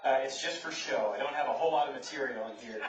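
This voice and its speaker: A man's voice: mid-range voice, fast talker. A man from New Jersey, turning thirty, doing conversational stand-up on stage and teasing the audience. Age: 30s. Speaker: stand-up comedian. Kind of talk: conversational stand-up with crowd work. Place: comedy theater stage before a live audience. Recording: roomy, distant, dull live-show audio. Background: audience laughter.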